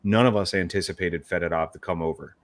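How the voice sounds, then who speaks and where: Deep-voiced man in rambling sports chat. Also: fairly even intonation, quick pace, no room sound. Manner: rambling, exasperated, emphatic. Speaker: podcast co-host.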